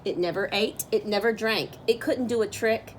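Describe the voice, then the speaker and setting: A woman reading a picture book aloud as a storyteller, with expressive, drawn-out phrasing for young listeners. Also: medium pitch, a little hiss or hum. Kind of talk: expressive children's read-aloud narration.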